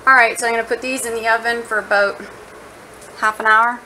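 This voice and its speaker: A woman's voice: fairly high pitch, little pitch movement, fairly quick, sharp tone. Woman doing a casual cooking vlog, kinda playful, talking to herself, noisy kitchen sizzle behind. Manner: informal, playful, thinking aloud. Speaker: home cooking vlogger. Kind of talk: casual chat while cooking. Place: home kitchen, indoors.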